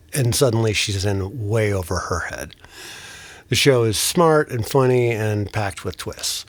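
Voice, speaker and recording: A man's voice: fairly low pitch, no room sound. A man introducing a show as a casual podcast host.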